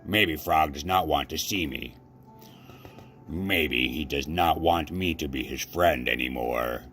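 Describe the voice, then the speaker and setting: A man's voice: very deep voice, slow pace, a bit bright, faint music behind, exaggerated voice. A man voicing an anxious, devoted toad from a children's storybook in an earnest, plaintive way. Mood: plaintive, sad.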